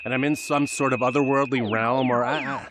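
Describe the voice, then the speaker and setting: A man's voice: medium pitch, fairly even intonation, fairly quick, background noise. A man giving joking commentary over a film, sardonic and mock-bewildered.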